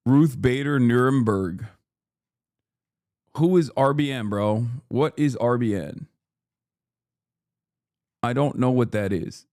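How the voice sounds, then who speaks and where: Male streamer, 30s, mid-pitched, bantering with chat, swears a lot, dry close sound. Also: slow, long pauses, no room sound. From Turkey. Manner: loud, joking, slangy, swears freely. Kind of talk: casual livestream chat.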